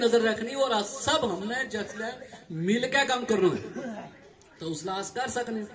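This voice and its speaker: A man speaking in Hindi with a Pahari-Gojri accent, addressing a community meeting earnestly and explaining things as an education official. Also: very high-pitched. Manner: earnest, explanatory, switching local dialect and Hindi. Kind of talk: public speech.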